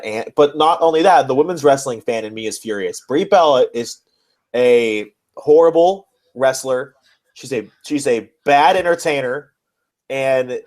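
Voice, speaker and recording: A man's voice: medium pitch, darkish tone. A man co-hosting a casual wrestling podcast, talking in a blunt, exasperated way with plenty of swearing. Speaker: podcast co-host.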